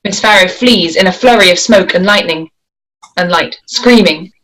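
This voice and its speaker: A woman narrates a script at a table read, delivering the stage directions. Her voice sits a little lower than average. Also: moderate pace, fairly bright tone, rough recording.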